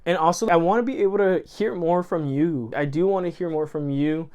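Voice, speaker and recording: A man's voice: a little high, a little fast, darkish tone. A man who grew up speaking Spanish, talking to camera in a rambling, earnest, effusive way and correcting himself as he goes. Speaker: channel host. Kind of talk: casual chat to camera.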